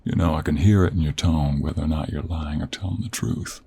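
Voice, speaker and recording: Man acting a cowboy monster hunter, deep gravelly voice, unhurried Western American drawl, gruff, teasing, intimate fantasy audio roleplay. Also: steady tone, warm tone, heavily acted. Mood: probing, wry. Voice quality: gravelly, husky.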